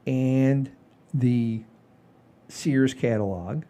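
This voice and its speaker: A middle-aged or older man hosting a comedy show, telling stories casually in a rambling, animated way with salty language. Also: mid-range voice, drawn-out words. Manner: rambling, animated storyteller with frequent swearing. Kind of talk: casual chat, storytelling.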